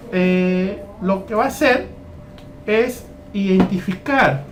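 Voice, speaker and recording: A man from Peru training teachers, walking through a lesson plan and repeating phrases as he goes. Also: sits high. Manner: repeats phrases, keeps asking "¿ya?".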